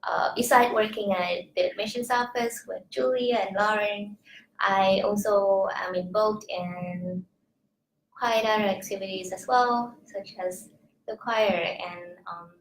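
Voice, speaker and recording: A woman's voice: mid-range voice, fairly even intonation, measured pace. Young woman from Vietnam, echoey room. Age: young adult. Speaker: admissions student worker. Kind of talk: student panel chat. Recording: echoey room with faint noise.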